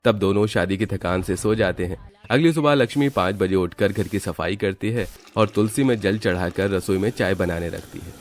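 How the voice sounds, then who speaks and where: A man's voice: sits low, normal speed. A man narrating a scripted Hindi family story as its storyteller.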